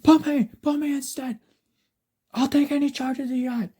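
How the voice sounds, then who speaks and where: A man voicing frantic, angry prisoners who shout urgently and repeat themselves in an improvised fan story. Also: very high-pitched, fairly even intonation, a little slow, pitched above the performer's own voice. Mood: worried, urgent.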